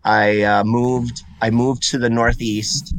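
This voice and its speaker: A man's voice: mid-range voice, little pitch movement, slow, a little hiss or hum, low-quality recording. A man from Puerto Rico whose first language is Spanish, a guest in a casual podcast interview, talking in a rambling way with restarts and repeated words. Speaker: guest artist.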